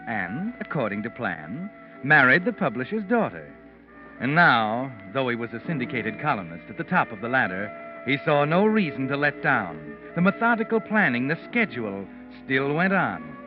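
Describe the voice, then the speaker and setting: Actor as a sinister old-time radio mystery narrator, swooping delivery. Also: male voice, medium pitch, natural voice. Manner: ominous, theatrical, knowing. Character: sinister all-knowing male night-walking mystery narrator. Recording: dull old radio recording, organ music.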